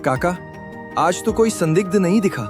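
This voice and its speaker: A man voices a clever young prince in a cartoon tale. His voice is high and very expressive, with an earnest, dramatic manner. Faint music runs underneath. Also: moderate pace. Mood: curious. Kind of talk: animated moral story acting.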